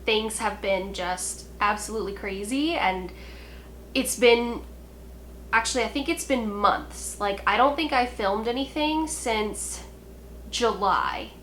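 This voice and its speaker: A young woman with a bright, fairly high voice, sounding clearly stuffed up and nasal from a cold. She chats casually to her viewers as a podcast host, rambling and restarting with plenty of ums. A faint hum sits under the recording. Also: slow. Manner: chatty and apologetic, with frequent ums and restarts. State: congested and nasal from a sinus cold. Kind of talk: casual chat to camera.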